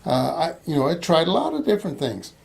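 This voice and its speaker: A man in his sixties hosting an interview in a relaxed, conversational way, with frequent restarts and easy laughter. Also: fairly high pitch, quick pace, gravelly. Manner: rambling, hesitant restarts, laughs easily. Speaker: interviewer, missions organization host.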